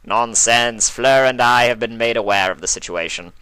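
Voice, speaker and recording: A man voicing a stately, wealthy gentleman unicorn, formal, courteous and a little pompous, in a story reading. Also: medium pitch, normal speed, smooth, no room sound, voice pushed up, natural voice, poor audio. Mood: jovial, generous.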